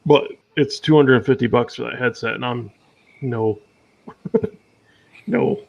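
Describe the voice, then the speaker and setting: Male gamer type, muffled lo-fi USB mic, hesitant, mid-pitched. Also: takes it slowly, creaky, darkish tone. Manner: hesitant, reads settings aloud. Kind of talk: casual tech chat.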